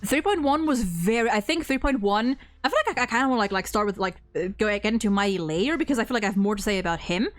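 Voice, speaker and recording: A woman's voice: medium pitch, animated, fast, few pauses, dry recording, soft background music. A young woman chatting casually as the host of a gaming discussion, informal and sweary, gushing like a fan. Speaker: host of a video game ranking discussion. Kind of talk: casual chat.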